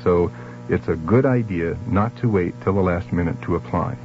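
A man's voice: low-pitched, normal speed, a little mellow. A man giving a scripted public service announcement on vintage radio. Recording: dull vintage radio, faint music.

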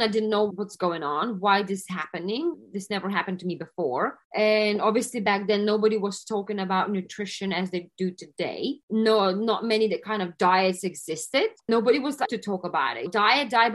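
A Lithuanian woman with an accent, speaking English as a second language, answering interview questions in an earnest, halting way. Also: mid-range voice, a bit bright. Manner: halting non-native grammar, earnest. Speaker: guest, wellness coach.

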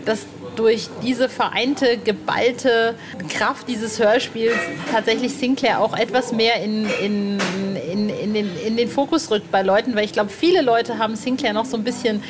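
A woman's voice: fairly high pitch, steady tone, moderate pace, few pauses, crowd noise. A woman chatting casually and colloquially as a podcast interviewer, agreeable in manner.

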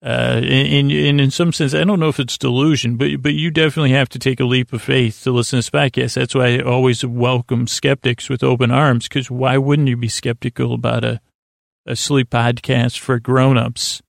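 A man with a gravelly, creaky voice drifts through a late-night sleep podcast, restarting his sentences and wandering off mid-thought. His delivery is soft and lulling, and the sound is dry and close with no room around it. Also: medium pitch, little pitch movement, normal speed, dry recording. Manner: meandering, self-interrupting, many restarts. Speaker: podcast host.

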